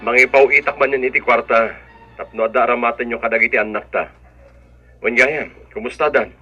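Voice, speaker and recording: A slightly dull, band-limited recording of a mid-pitched voice with an Ilocano accent. It is a caring husband and father in a radio drama, affectionate and earnest. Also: fairly even intonation, brisk, sharp tone, faint music behind.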